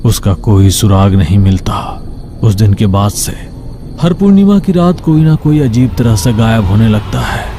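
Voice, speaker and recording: A man's voice: fairly low pitch, sing-song, measured pace, dark tone, a little hiss or hum. A man narrating a scripted horror story.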